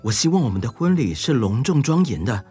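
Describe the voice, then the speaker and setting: 男声扮演沙哑、闷闷不乐的严肃新郎. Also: male voice, medium pitch, very animated, brisk, a little mellow, slightly rough. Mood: displeased. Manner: displeased, grudging. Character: grumpy, solemn bridegroom in animal fable. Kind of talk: children's audio story acting. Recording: music behind the voice.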